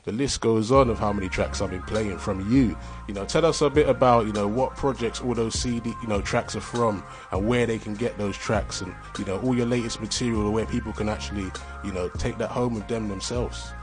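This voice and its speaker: A man's voice: lowish voice, a little fast, few pauses, faint music behind. A man hosting a casual radio interview in an upbeat, repetitive way. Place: radio studio.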